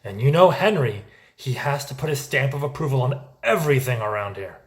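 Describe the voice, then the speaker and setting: A man's voice: medium pitch, big pitch swings, brisk, natural voice. An actor playing an earnest, anxious, loyal married man who is eager to please, in a musical theatre table read. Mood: evasive, placating. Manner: earnest, placating.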